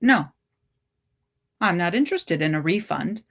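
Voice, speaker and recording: A woman reading a short story, voicing a controlling male lover in a cool, measured, unyielding manner. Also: on the low side, expressive, gravelly, heavily acted. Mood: cool insistence. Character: calm, possessive, controlling male lover, coolly insistent.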